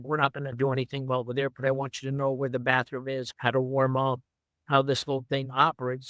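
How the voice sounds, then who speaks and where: An older man from Brooklyn, a former runner and coach, telling stories in an interview in an unhurried, fond way with many fillers. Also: mid-range voice, no echo. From Brooklyn, New York. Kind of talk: reflective storytelling.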